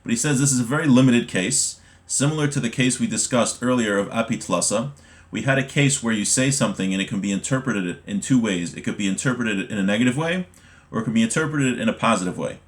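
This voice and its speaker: A man's voice: medium pitch, lively intonation, fast talker. Man teaching a religious text class. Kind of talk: explanatory lesson, reading Hebrew text then translating.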